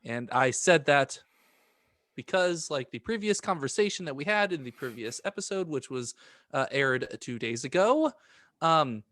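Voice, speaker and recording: A man with a bright, forceful voice co-hosts a podcast. He banters in a casual, joking way, recorded dry and close with hardly any room sound. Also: a little high, lively intonation, moderate pace, dry recording. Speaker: podcast co-host.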